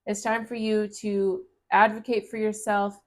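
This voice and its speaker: Gentle encouraging woman reading tarot. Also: mid-range voice, little pitch movement, a little slow. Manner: gentle, encouraging, reads card text aloud. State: voice getting raspy. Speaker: tarot reader. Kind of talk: casual tarot reading to camera.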